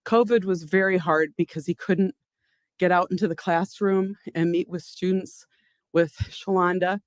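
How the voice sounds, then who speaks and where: An older woman speaking on her late husband's behalf at an awards gala, heartfelt and halting, with personal stories. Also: fairly low pitch, a little mellow, no echo. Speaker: award recipient's widow accepting on his behalf. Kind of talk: emotional acceptance speech.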